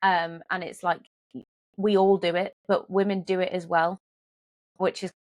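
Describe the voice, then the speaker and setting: A woman's voice: lowish voice, flat delivery, measured pace, dry recording. A woman guest on a podcast, earnestly retelling something she saw in casual chat.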